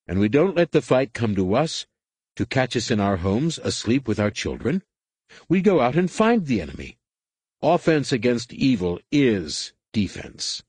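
A man's voice: mid-range voice, lively intonation, normal speed, a little mellow, no room sound, pitched above the performer's own voice, close to an everyday voice. A man reading aloud, voicing an angry, defiant middle-aged male warrior-monk who argues in a heated, rising way. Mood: impassioned.

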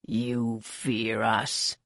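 A narrator voicing a battle-worn male alien outcast in an audiobook, devout and defiant, with a rasping voice. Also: medium pitch, completely flat delivery, extremely slow, fairly bright tone, no echo, studio quality, pitched below the performer's own voice.

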